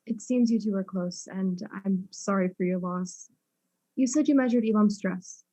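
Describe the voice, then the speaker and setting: A woman's voice: mid-range voice, normal speed, mellow, natural voice, poor audio. A woman playing a polite, probing detective who questions suspects in a mystery drama. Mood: sympathetic. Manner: courteous, inquisitive. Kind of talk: acted mystery audio drama.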